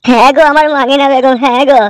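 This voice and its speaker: A woman's voice: high-pitched, fairly even intonation, very fast, a little hiss or hum, natural voice. A woman voicing an eager, lovestruck young man in a cartoon comedy, pleading and stammering. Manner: pleading, stammering when scared. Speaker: cartoon character. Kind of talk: Bengali cartoon comedy dubbing.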